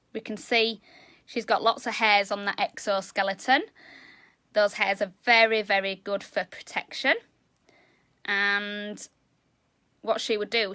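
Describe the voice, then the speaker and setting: Woman, zoo educator, loud high voice, bright and chatty, live kids' lesson. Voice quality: high-pitched voice. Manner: loud, chatty, enthusiastic, talks to kids. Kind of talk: live educational animal talk.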